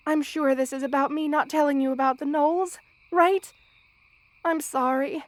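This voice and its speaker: A woman's voice: high-pitched, steady tone, normal speed, no echo, lightly acted. A woman playing a kind, protective spider queen with a tender, formal manner in an ASMR roleplay. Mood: alarmed, pleading. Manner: tender, doting, softly commanding, formal wording. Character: motherly female spider queen, kind, protective, regal monster. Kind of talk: ASMR roleplay audio drama.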